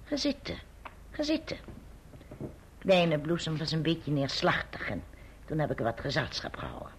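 Low-voiced, soothing family friend. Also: female voice, huge pitch swings, moderate pace. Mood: reassuring. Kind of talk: old radio drama acting. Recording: faintly noisy old radio recording.

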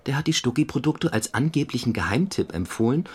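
A man's voice: mid-range voice, sing-song, a little fast, husky. A man playing a courteous, methodical police detective assistant in a radio crime drama, speaking precisely and matter-of-factly. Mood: factual. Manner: precise, matter-of-fact, slightly formal wording. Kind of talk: German radio crime drama dialogue and narration.

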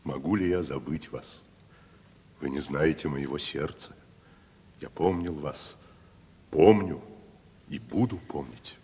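An actor playing a pompous, worldly wandering gentleman who declaims theatrically in a classic Russian radio drama. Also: rumbling low, takes it slowly, long pauses, slightly rough, exaggerated voice. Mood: solemn, lofty. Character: worldly, theatrical wandering gentleman, grandiloquent nephew of a rich landowner. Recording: long hall reverb.

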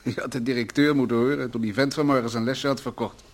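A man's voice: mid-range voice, fairly even intonation, fast, close to an everyday voice. A man playing a worried, earnest husband in a radio play, a brooding company economist given to rhetoric. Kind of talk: radio play acting, domestic dialogue.

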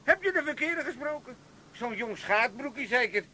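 Loud nosy old amsterdam dad, really high voice, blustery, trips over words, 80s radio comedy. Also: male voice, animated, normal speed, heavily acted. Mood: blustering. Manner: blustering, stammering when worked up. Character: loud, meddling old Amsterdam father, shady and opinionated. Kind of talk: 1980s Dutch comedy radio play acting.

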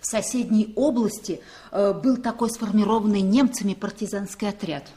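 Actress as plain-spoken woman of middle pitch. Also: normal speed, mellow, lightly acted. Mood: serious. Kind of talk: 1960s Soviet radio drama acting.

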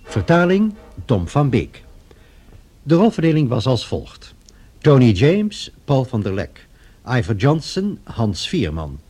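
A male radio announcer reads credits formally. The voice is husky and mid-pitched, with varied intonation. The delivery is measured and unhurried. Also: huge pitch swings, warm tone.

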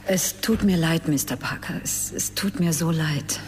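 Low-voiced, placating woman doctor. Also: a little slow, darkish tone, a little hiss or hum. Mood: sorrowful, placating. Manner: soothing, hesitant. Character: apologetic, placating female doctor. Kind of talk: radio crime drama acting.

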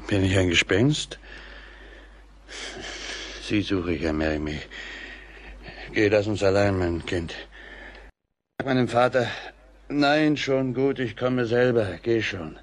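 An actor playing a drunken, bewitched bridegroom in a German radio play, husky and halting, theatrical and agitated. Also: fairly low pitch. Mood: mocking, condescending. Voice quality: husky, gravelly. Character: drunken, bewitched bridegroom, mocking then desperately frightened.

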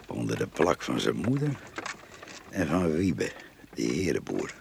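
Very deep, drawling village gossip. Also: male voice, huge pitch swings, measured pace, full character voice. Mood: mocking. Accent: Netherlands Dutch. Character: sly, gossiping, lecherous male village onlooker. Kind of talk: radio drama monologue. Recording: radio play with clear background noise.

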